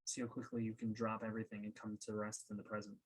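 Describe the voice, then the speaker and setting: A man leading a guided meditation as a coach, speaking in a calm, unhurried way with pauses. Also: lowish voice, slightly rough, rough recording.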